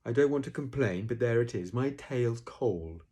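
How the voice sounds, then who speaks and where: A man's voice: medium pitch, animated, normal speed, warm tone, slightly rough, pitched above the performer's own voice. Guy doing a grumbly gloomy old donkey from a kids storybook, resigned, always complaining. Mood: plaintive. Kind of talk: children's storybook character reading.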